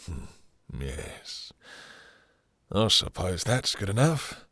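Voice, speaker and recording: A man playing a possessive, seductive vampire master, breathy and husky, purring condescending endearments and commands in an intimate audio roleplay. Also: sits low, very animated, slow pace, a bit bright, no room sound, studio quality, full character voice. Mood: seductive, appraising.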